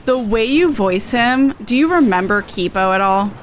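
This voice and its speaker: A woman's voice: on the high side, animated, moderate pace, slight background noise. A woman game streamer chatting casually through a playthrough and reading out on-screen text.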